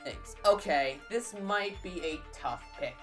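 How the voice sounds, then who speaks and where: A woman's voice: lowish voice, expressive, slow. A woman hosting a casual countdown video, often repeating words and restarting her sentences, with music playing behind her. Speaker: YouTube list-video host.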